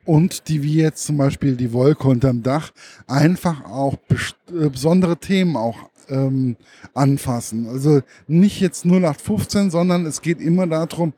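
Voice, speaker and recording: A man's voice: a little high, unhurried, warm tone, slightly rough. A man interviewing a guest at a book fair, asking hesitant questions with many fillers and repeated words.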